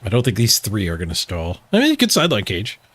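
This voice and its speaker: A man's voice: fairly low pitch, huge pitch swings, quick pace, fairly bright tone, husky, no room sound. A man streaming a video game, giving casual, playful live commentary as he thinks his moves through aloud.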